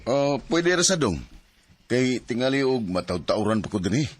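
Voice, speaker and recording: A man's voice: medium pitch, sing-song, moderate pace, slight background noise, lightly acted. A man acting a loving young father in an audio drama, sobbing in grief. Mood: casual. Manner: emotional, sobbing.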